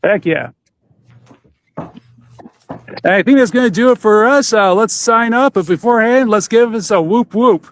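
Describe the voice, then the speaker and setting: A man's voice: sits high, normal speed, long pauses, a bit bright. A man hosting a podcast in a casual, chatty way, steering guests through their plugs. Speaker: podcast host. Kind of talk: casual chat.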